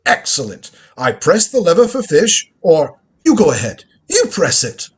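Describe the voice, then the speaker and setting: A man's voice: a little high, wildly swooping pitch, a little slow, husky, heavily acted. A man playing a crotchety, proud old grandfather and time-travelling inventor in a live radio drama reading, with an imperious, lecturing manner. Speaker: lead actor in a live script reading.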